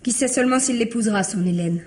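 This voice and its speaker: An actress playing an anxious, reproachful wife in a French radio drama, speaking insistently and full of questions. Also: female voice, a little high, fast talker, a little mellow, slight background noise, lightly acted. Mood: worried. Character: anxious, reproachful wife probing her secretive husband.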